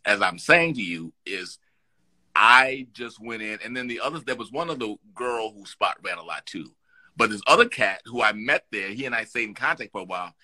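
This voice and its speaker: A middle-aged man talks in a bright, forward voice. He tells his stories with lively energy, restarting his sentences as he goes, like a guest sharing anecdotes in an interview. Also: lowish voice, low-quality recording. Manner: animated, lively storyteller with restarts. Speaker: guest actor. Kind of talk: storytelling in an interview.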